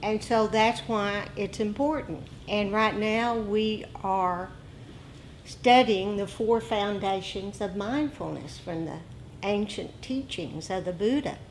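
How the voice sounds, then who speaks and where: A woman meditation teacher giving a dharma talk to a group, speaking deliberately and haltingly, with pauses between short phrases. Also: mid-range voice, slight background noise, low-quality recording.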